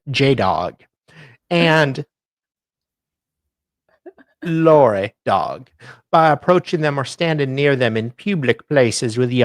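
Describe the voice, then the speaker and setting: A co-host mixing dramatic reading of documents with casual chat in a deliberate, wry way. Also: medium pitch, no room sound.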